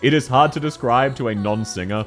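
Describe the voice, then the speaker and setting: A young man with a deep voice streaming a game and chatting with viewers, excitable and slangy, laughing often and quick to correct himself. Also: soft background music. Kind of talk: casual live-stream chat, answering viewer questions.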